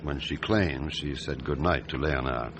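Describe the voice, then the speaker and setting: A man playing a seasoned, gruff and methodical police chief inspector in a detective radio drama, speaking in a measured, deliberate, brooding way. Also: very deep voice, background noise. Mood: businesslike. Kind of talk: radio drama acting and narration.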